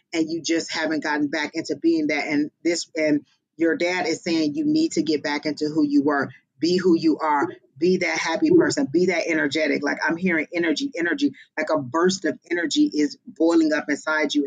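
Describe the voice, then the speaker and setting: A psychic medium giving live-streamed readings in a casual, expressive way, often exclaiming and pausing on fillers. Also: mid-range voice, creaky, slightly rough, muffled recording.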